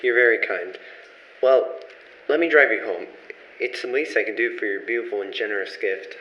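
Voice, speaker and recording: A man playing a kind, earnest young pastor in an amateur old-time radio play, speaking gently and with measured care. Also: on the low side, lightly acted. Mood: kind, grateful. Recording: echoey, muffled, noisy amateur recording.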